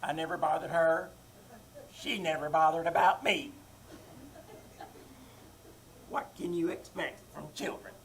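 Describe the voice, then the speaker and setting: A woman's voice: deep voice, fairly even intonation, moderate pace, slight background noise, low-quality recording. A woman playing a nagging, self-pitying elderly mother who insists she is no bother, in a live old-time radio comedy. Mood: martyred. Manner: passive-aggressive, self-pitying repetition. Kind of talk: 1940s radio comedy acting. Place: live theater stage.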